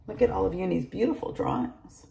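A middle-aged woman reading a children's picture book aloud to her class, in an animated storytelling manner, recorded on a close phone camera in a large room at home. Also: medium pitch, brisk. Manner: animated storytelling, addresses children by name, gravelly edge. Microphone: phone or laptop camera at close range.

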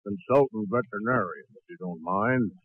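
A man playing a folksy dog doctor, telling corny jokes deadpan in a 1940s radio comedy. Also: lowish voice, normal speed, a little hiss or hum, natural voice. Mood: droll. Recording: tinny, narrow 1940s radio recording.

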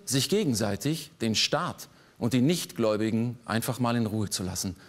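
A man in his forties performing a political cabaret monologue on a theater stage, sardonic, landing dry punchlines with sudden jumps in pitch. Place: large theater stage before a live audience.

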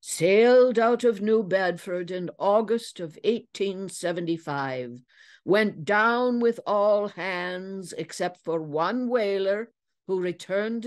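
A woman voicing an elderly male detective, emphatic and declamatory, in a dramatic storybook reading. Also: lowish voice. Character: elderly Pinkerton detective man, startled and emphatic.

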